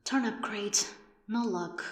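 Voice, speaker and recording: A woman playing a bossy, quick-witted agent in a science fiction audio drama, brisk and sardonic. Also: medium pitch, close to an everyday voice. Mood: impatient. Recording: echoey hall with long reverb.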